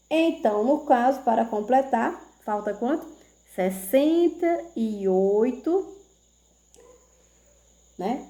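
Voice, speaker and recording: A woman teaches a math lesson to children. Her voice sits a little high, and she talks slowly. She keeps checking that the children follow along. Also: darkish tone. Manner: slow, patient, checks in with "tá certo?".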